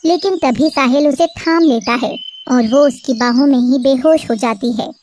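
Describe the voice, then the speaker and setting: A woman narrating an animated story in a steady storytelling cadence. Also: high-pitched, moderate pace, a little hiss or hum.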